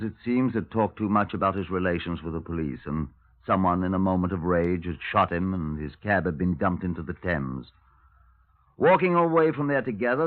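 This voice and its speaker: An actor playing a stern, formal police detective in a 1950s radio drama. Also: male voice, fairly low pitch, natural voice. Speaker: narrator and detective. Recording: tinny narrow-band 1950s radio.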